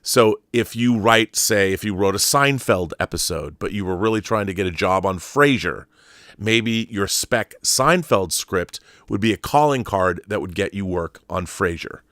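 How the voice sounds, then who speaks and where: A man's voice: lowish voice, animated, moderate pace, fairly bright tone, no room sound, studio quality. A man hosting an online show, reading an article aloud in an emphatic storytelling way and adding explanatory asides.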